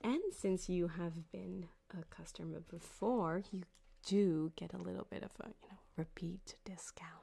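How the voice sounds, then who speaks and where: Yandere ripperdoc woman performer, ASMR roleplay: slow, soft, half-whispered, coy and quietly sadistic, close binaural mic. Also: on the low side, fairly even intonation, gravelly, husky, heavily acted. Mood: coy, clinical. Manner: soft-spoken, often dropping to a whisper, halting and coy. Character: flirtatious, sadistic yandere female cyberpunk ripperdoc, sweetly menacing. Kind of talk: ASMR roleplay addressed to the listener. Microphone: close binaural microphone.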